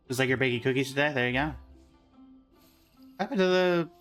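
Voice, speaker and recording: A man's voice: medium pitch, normal speed, fairly bright tone, smooth, faint music behind. A man giving casual game reaction commentary as a host, in an informal, rambling, offhand manner.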